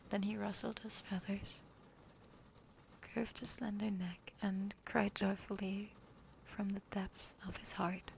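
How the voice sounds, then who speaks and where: A young woman whispers close to the microphone, her soft voice carrying a gravelly edge. She reads slowly and gently, as an ASMR streamer talking to her viewers. A faint hiss sits under the recording. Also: mid-range voice, level tone, low-quality recording. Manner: soft whispering, chatting with chat. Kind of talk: whispered ASMR story reading.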